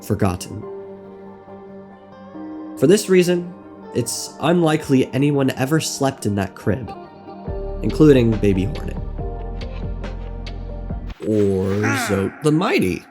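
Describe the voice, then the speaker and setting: A man narrating a scripted game lore video essay in a wry, theatrical manner with dramatic pauses. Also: medium pitch, animated. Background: music.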